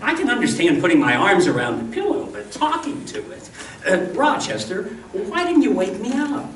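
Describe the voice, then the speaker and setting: A woman's voice: mid-range voice, big pitch swings, normal speed, lightly acted. A woman playing a fussy, nervous male star in an old-time radio comedy, flustered and hesitant. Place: live stage performance of a radio show. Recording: echoey stage, distant mic, noisy.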